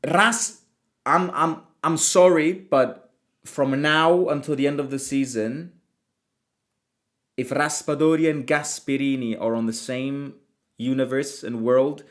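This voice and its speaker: A man's voice: mid-range voice, steady tone, takes it slowly, fairly bright tone. Chatty man with many restarts. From Italy. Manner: chatty, self-interrupting with frequent restarts and repeated words. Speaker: football fan channel host. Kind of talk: casual livestream chat reading viewer comments.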